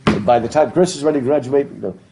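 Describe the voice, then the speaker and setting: A man's voice: mid-range voice, fast, noisy background. A middle-aged man teaching a literature class with a stuffed up nose, chatty and laughing as he asks the class questions. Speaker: professor. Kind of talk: classroom lecture. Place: classroom with a blackboard. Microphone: laptop webcam.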